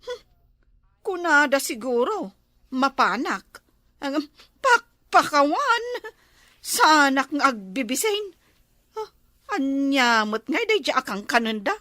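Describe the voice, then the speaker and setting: High, slow, sour fault-finding wife woman acting. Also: sing-song, pushed and bright, no room sound, studio quality, heavily acted. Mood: sour, resentful. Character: envious, fault-finding wife and mother, sulky gossip. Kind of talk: Ilocano radio drama acting.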